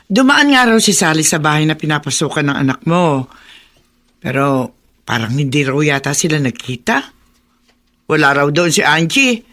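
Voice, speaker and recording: A performer voicing a chatty middle-aged neighbor who trades news in a radio drama. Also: female voice, low voice, normal speed, pauses often. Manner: lively, gossipy.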